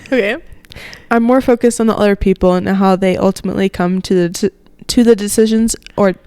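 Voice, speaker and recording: A woman's voice: mid-range voice, steady tone, fairly quick, warm tone, smooth, no room sound. A young woman co-hosting a podcast in casual conversation, giggly and self-deprecating, speaking into a close handheld microphone. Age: young adult. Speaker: podcast co-host. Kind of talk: casual chat. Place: living room, indoors. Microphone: handheld microphone held close.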